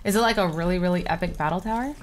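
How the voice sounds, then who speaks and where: Young woman, twenties, casual gameplay chatter, excitable, quick exclamations, home desk mic. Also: on the low side, a little hiss or hum. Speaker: co-host of a Minecraft series. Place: home room, indoors. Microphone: webcam and desk mic.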